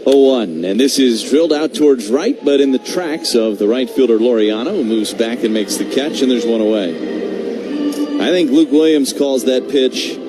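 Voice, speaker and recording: A man's voice: medium pitch, normal speed. A man doing live radio baseball play-by-play in a wry, conversational way. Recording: noisy stadium broadcast feed.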